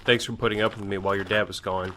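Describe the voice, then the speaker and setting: A loyal, kindly soldier who speaks frankly, played by a man in an audio drama. The voice is lowish and forward, over faint background noise. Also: flat delivery, fast, pushed and bright. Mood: reassuring.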